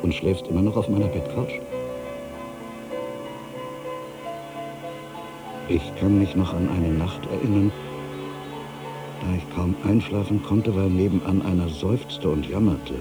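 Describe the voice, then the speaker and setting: Actor as brooding ageing writer, very deep voice. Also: male voice, music in the background, close to an everyday voice. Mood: musing. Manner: theatrical, musing, with rhetorical questions and pauses. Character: ageing male writer, brooding, bitter, addressing his past. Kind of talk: literary radio drama monologue.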